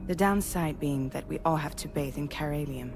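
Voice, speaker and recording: A woman's voice: low voice, moderate pace. A woman acting as a composed, resolute ship commander in a cinematic video game. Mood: composed, explanatory. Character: composed female commander of a civilian ship crew, welcoming, resolute.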